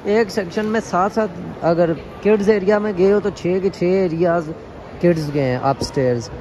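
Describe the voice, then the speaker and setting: A man's voice: high voice, moderate pace. A man chats casually and playfully to viewers in slangy, repeated phrases. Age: 20s. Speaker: travel vlogger. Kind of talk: casual vlog chat to viewers. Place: car, parking garage and busy indoor mall. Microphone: handheld phone at arm's length. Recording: noisy handheld recording with loud ambient noise.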